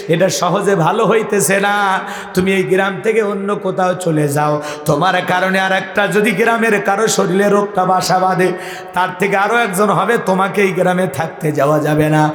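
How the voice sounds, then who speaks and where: A man's voice: sits high, moderate pace, a bit bright, faint music behind. A man voicing wary villagers in a sermon story, insistently urging a sick man to go away. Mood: urging, fearful. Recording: echoey hall sound, long reverb.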